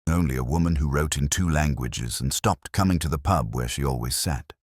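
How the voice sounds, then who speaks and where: A man playing a brooding, erudite middle-aged detective, narrating an audio drama in measured, reflective, literary phrases. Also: extremely low pitch, breathy edge, no echo, clean studio sound. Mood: brooding, wistful. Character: brooding, erudite male English police detective, melancholy, observant.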